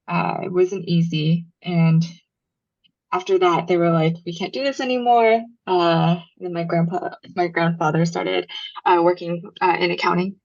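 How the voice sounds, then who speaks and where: A young woman from Los Angeles talks as a podcast guest in a loose, chatty way. Her voice sits on the low side and is filled with ums and likes. The recording sounds dull and muffled. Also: fairly even intonation, measured pace, a little mellow. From Los Angeles, USA. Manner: storytelling, frequent ums and likes, laughs easily. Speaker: podcast guest, brand founder. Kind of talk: casual interview chat.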